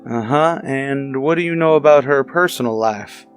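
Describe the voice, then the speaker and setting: A man's voice: mid-range voice, moderate pace, faint music behind, close to an everyday voice. A man playing a businesslike police detective who questions in a clipped, matter-of-fact way in a radio drama. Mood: probing. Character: businesslike male police detective partner, probing. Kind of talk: old-time radio detective drama acting.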